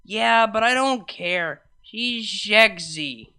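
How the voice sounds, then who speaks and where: A man's voice: high-pitched, animated, drawn-out words, pushed and bright, clean tone, voice pushed up, heavily acted. A man voicing a drunk, weepy young mare pony who works as a DJ, slurring and wailing in an overwrought way. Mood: tipsy.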